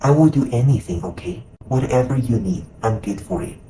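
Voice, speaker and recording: A woman's voice: extremely low pitch, moderate pace, warm tone, a little hiss or hum, voice pushed down, poor audio. A woman voicing an eager, pleading teenage boy in acted story dialogue.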